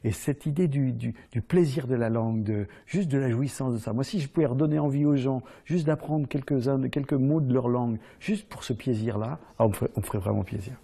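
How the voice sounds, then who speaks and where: Husky, mellow storyteller man. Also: mid-range voice. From Vendée, France. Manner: halting, repeats words. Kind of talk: interview answers.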